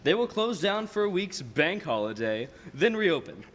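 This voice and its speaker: A young man acting a smug, scheming banker villain with a high, very animated voice. He is condescending and falsely helpful in radio play style, with the reverb of a large hall around him. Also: normal speed. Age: teens or young adult. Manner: smooth, condescending, theatrical.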